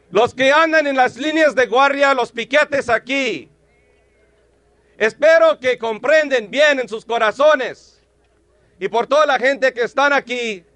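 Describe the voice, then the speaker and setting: Rousing high-voiced man. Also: dry recording. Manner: rousing, shouting slogans. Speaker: union organizer giving a rally speech. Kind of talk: rally speech.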